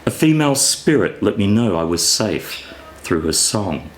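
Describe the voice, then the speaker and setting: A man reading his own fiction aloud as an author, in a theatrical, deliberate storytelling manner. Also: on the low side, very animated. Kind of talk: expressive scripted story reading.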